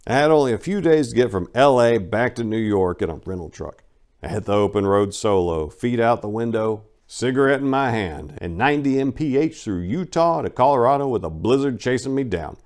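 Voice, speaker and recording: A man's voice: mid-range voice, lively intonation, a little fast, a little mellow. A man reading his own book as a dramatic first-person narration, with a colloquial, cocky storytelling manner.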